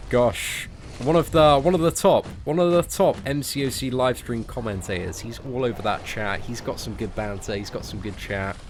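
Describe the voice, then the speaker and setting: A man's voice: mid-range voice, normal speed, a little hiss or hum. A British man hosting a gaming livestream, chatting casually with friendly banter and lots of filler words. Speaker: livestream host playing a mobile game. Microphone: desk microphone.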